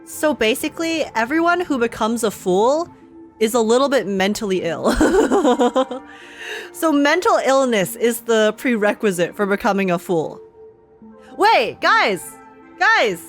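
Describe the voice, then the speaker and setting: A young woman giving a casual, jokey, slangy live game reaction, animated, with some music behind her. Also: on the high side, a little slow. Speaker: gaming streamer.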